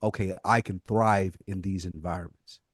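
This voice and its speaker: A man's voice: deep voice, steady tone, moderate pace, no room sound, clean studio sound. A man hosting a podcast, speaking earnestly and emphatically in casual, slangy talk. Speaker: podcast host.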